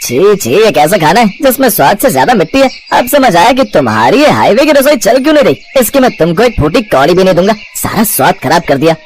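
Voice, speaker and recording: A man playing a demanding, scornful travelling merchant with a husky voice in a story. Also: sits high, sing-song, fast, hardly any pauses, slight background noise. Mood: disgusted, scornful.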